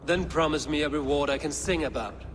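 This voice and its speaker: An actor playing a bold, proud Viking warrior in a video game, speaking in a blunt, boastful, measured way. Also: male voice, a little high, music in the background, close to an everyday voice. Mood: proud, challenging. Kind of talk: dramatic video game acting.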